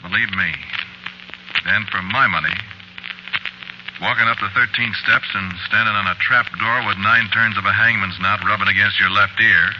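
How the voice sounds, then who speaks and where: A man's voice: fairly low pitch, steady tone, moderate pace, natural voice. An American actor playing a world-weary murderer who tells his story in a folksy, knowing, conversational way in a 1940s radio drama. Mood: ominous, knowing. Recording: noisy, muffled 1940s radio recording.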